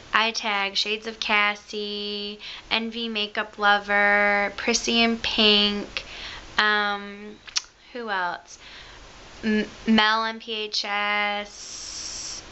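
Young woman, Michigan accent, bright forceful voice, dull hissy home video. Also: mid-range voice. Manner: chatty, self-mocking, rambling asides. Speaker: beauty vlogger. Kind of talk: casual chat to camera. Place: bedroom at home, indoors. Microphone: camera close to the face.

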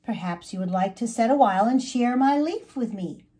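Woman as an eager, high-voiced young male caterpillar. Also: husky. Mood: friendly, musing. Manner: expressive, drawn-out calling and musing.

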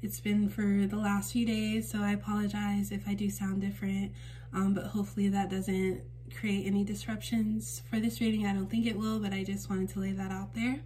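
Woman, raspy voice, mellow tone, flat even delivery, faint hum behind, home reading. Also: medium pitch, moderate pace. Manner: gentle, reassuring, uses many fillers. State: raspy voice for a few days. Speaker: tarot reader and channel host. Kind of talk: casual spiritual reading to camera. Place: indoors at a table in a home room.

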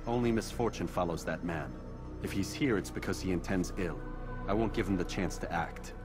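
A man's voice: sits low, normal speed, close to an everyday voice. A man playing a stoic, determined young assassin in a video game, serious and restrained. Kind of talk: dramatic video game cutscene acting. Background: music.

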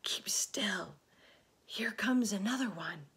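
A woman voicing a brave, bossy young boy in a storybook, urgent, moving between hushed orders and shouts. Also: sits high, very animated, a little slow, breathy edge, pitched above the performer's own voice, exaggerated voice. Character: brave, commanding young boy leading animal friends.

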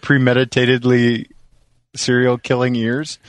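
A man's voice: medium pitch, completely flat delivery, a little slow, no echo. A man co-hosting a podcast, chatting casually and jokingly. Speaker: podcast co-host.

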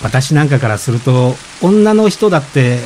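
An actor playing a polite, gentle, self-effacing expectant father in reflective radio drama dialogue. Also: medium pitch, big pitch swings, moderate pace, a little hiss or hum, natural voice. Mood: gently reflective. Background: rain.

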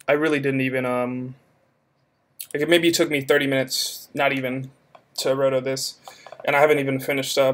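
Casting: male visual effects artist, mid-pitched voice, informal and hesitant, rambling through a work-in-progress walkthrough. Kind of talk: casual screen-recording walkthrough.

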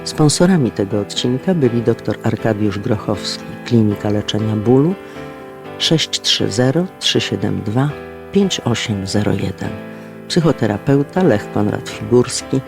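A man announcing audio drama credits in a measured, formal, scripted manner. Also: on the low side, animated, creaky. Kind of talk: scripted narration. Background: guitar music.

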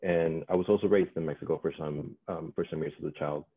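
A man with a very deep voice, a Spanish speaker from birth, talks in a measured, thoughtful way on a video-call panel. His audio comes through thin and phone-like. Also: fairly even intonation. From California.

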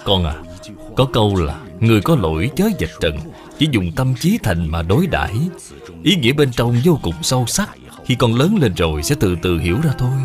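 A man voicing a kindly, wise father and dutiful official in a cartoon, measured and moralizing. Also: fairly low pitch, big pitch swings, music in the background. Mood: gentle, instructive. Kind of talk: cartoon dub acting.

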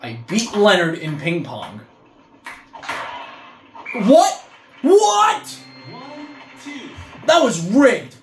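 A man's voice: high voice, wildly swooping pitch, very slow, pauses often. A young man giving casual gameplay commentary, cursing and breaking into frustrated outbursts at the game. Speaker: gaming video creator. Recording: roomy echo with faint game music.

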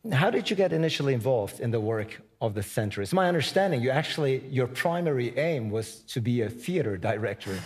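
A man's voice: mid-range voice, lively intonation, fairly quick, few pauses, slightly rough. Polite man moderating on stage. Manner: polite, hesitant with many fillers. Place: large conference stage before an audience.

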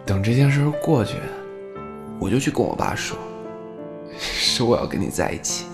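Actor as a soft, earnest young guy, lively pitch, music under, audio drama. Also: moderate pace, vocal fry. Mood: tender. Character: young man, earnest and devoted. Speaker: lead character.

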